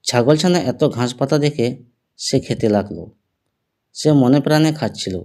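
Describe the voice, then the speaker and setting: A man's voice: medium pitch, animated, unhurried, dark tone. A man narrating a scripted cartoon fable as the storyteller, with music behind him.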